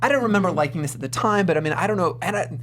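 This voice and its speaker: A woman's voice: fairly low pitch, animated, racing, a bit bright, slight background noise. A woman co-hosting a film review show, chatting casually with emphatic, sarcastic exasperation. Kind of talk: casual animated panel chat.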